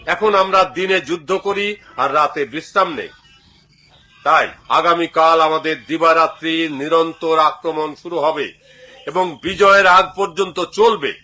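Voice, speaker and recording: A man's voice: a little high, unhurried, pushed and bright. A man voicing a resolute, devout sultan in a dubbed cartoon, addressing his army in formal, declamatory oratory. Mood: determined. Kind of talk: dubbed cartoon speech to troops.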